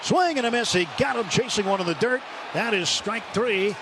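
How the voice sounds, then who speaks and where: A man calling baseball play-by-play on the radio, building excitement on big pitches. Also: normal speed. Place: ballpark broadcast booth. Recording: radio broadcast over loud crowd noise.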